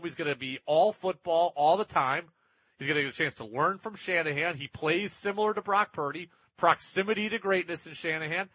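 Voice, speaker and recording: Man, sports radio host, higher-pitched husky voice, opinionated, emphatic, dull dry studio sound. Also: sharp tone, no room sound. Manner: opinionated, emphatic, repeats points for effect. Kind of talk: sports talk radio commentary, reading listener texts.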